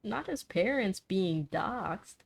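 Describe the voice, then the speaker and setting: A woman's voice: mid-range voice, expressive, takes it slowly, creaky, husky. A woman game streamer giving casual, wry and teasing commentary on a playthrough.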